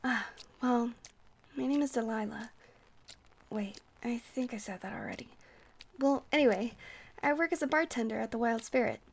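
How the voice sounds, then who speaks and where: High-voiced, gentle vampire woman played by an actress. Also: moderate pace, creaky, pauses often, breathy edge. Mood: chatty, sheepish. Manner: gentle, chatty, whimsical rambling. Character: friendly, rambling young-looking vampire bartender woman, centuries old. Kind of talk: ASMR roleplay monologue.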